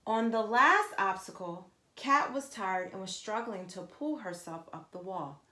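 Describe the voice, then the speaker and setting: A woman reading a children's story aloud like a teacher, with emphatic, expressive narration addressed to young listeners. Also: medium pitch, measured pace. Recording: echoey, roomy sound.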